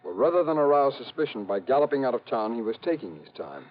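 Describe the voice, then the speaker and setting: An American actor playing an investigator's anxious, exasperated colleague in a golden age radio drama, insistent and emphatic. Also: male voice, mid-range voice, big pitch swings, fast talker, lightly acted. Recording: muffled vintage radio, faint noise.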